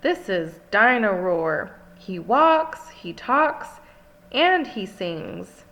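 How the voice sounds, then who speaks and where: A woman's voice: medium pitch, very animated, extremely slow, a bit bright, heavily acted. A woman voicing a friendly, obliging male fox shopkeeper in a children's storybook, with upbeat sales patter. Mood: proud, selling. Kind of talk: children's storybook read-aloud.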